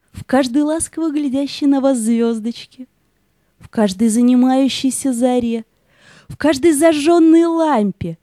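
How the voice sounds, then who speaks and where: A woman's voice: sits high, dark tone, no room sound. A woman playing a tender, serene female spirit of light in an audio play.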